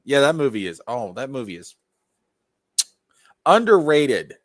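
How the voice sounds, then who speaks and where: A man's voice: mid-range voice, sing-song, measured pace, no echo, poor audio. A man in casual comic podcast banter, effusive and freely swearing.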